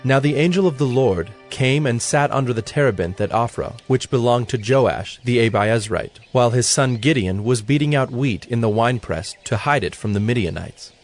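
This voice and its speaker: A man narrating scripture from a script in a measured, storytelling manner. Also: medium pitch, expressive, faint music behind.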